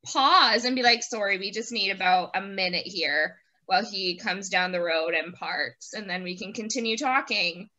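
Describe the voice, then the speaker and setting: A woman hosting a casual interview over a video call, chatting playfully and quick to laugh. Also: mid-range voice, pushed and bright. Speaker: host interviewing a guest. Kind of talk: casual chat and quick-fire questions.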